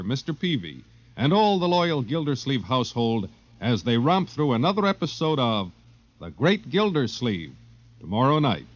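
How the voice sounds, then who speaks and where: Lively 1950s American radio announcer man. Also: mid-range voice. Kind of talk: 1950s scripted radio promo. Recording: muffled 1950s radio recording.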